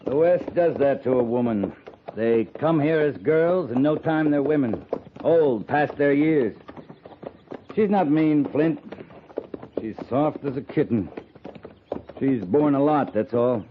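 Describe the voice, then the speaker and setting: An actor in a 1950s radio drama playing a gruff, plain-spoken but decent army captain. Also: male voice, mid-range voice, animated, slow pace, pauses often. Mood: reflective. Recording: tinny, faintly noisy 1950s radio recording.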